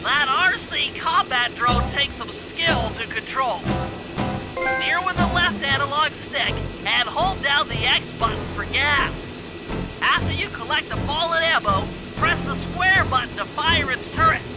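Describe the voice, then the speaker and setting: Video game brainiac animal, male, extremely high pitch, earnest technical briefing manner, music underneath. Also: animated, a little slow. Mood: earnest, instructive. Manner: precise, technical, explanatory. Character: brainy, earnest, nerdy male gadget expert cartoon animal. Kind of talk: video game cutscene voice acting. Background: background music.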